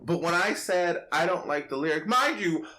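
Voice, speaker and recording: A man's voice: on the high side, animated, normal speed, noisy background. Sassy man, slangy podcast host. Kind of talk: opinionated casual podcast chat.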